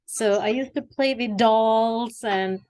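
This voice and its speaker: A woman's voice: on the high side, slow pace. A woman in her forties, originally from Sri Lanka, telling her story as an interview guest with a reflective manner. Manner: reflective, warm storytelling with fillers. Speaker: guest, executive coach. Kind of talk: conversational interview.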